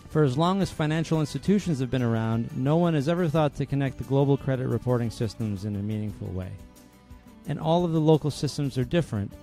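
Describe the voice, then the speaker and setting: A man's voice: medium pitch, warm tone. Podcast host man, scripted intro. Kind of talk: scripted intro, then casual interview chat.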